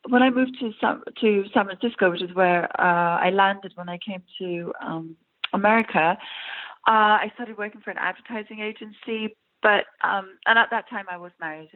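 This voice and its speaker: A woman from Iran with an English accent, an actress chatting casually in an interview, with many fillers and frequent laughs. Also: mid-range voice. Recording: tinny phone-like line.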